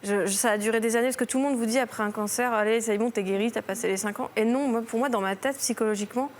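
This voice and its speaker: Woman, 30s, talk show guest, halting, trailing sentences, faint noise. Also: on the high side, steady tone. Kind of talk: emotional personal testimony. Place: television studio.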